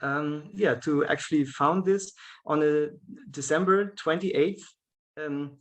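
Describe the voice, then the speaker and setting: A man's voice: on the high side, slow pace. A German man with a German accent, a guest speaking English as a second language in a casual video-call interview, hesitant, with frequent fillers and restarts. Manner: hesitant, many fillers and restarts, laughs often. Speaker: guest, event organizer.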